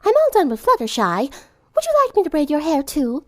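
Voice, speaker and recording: A woman voicing an elegant, sly teenage girl in a cartoon story, teasing with refined, drawn-out words. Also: sits high, wildly swooping pitch, voice pushed up. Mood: coy.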